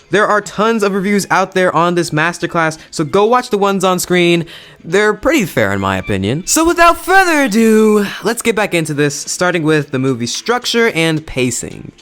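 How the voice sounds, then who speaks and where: Animated young man reviewing over music. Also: high voice. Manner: enthusiastic, emphatic, slangy. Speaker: YouTube reviewer. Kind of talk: casual video movie review.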